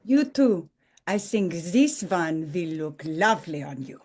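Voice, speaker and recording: A woman's voice: fairly low pitch, very animated, slow, darkish tone, full character voice. A woman playing a sweet, wholesome Austrian widow in her seventies who keeps a shop, in a romcom table read. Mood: kindly insistent.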